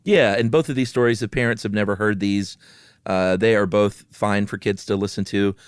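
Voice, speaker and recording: A man's voice: on the low side, steady tone, moderate pace, smooth, no echo, studio quality. A man hosting a podcast, moving between casual chat and dramatic reading of a spooky story. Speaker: podcast host.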